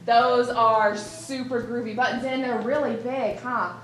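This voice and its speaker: A woman running a library puppet show for children, animated and talking straight to the kids as she counts aloud. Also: on the high side, a little slow. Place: large library room with children. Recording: echoey room from some distance, kids around.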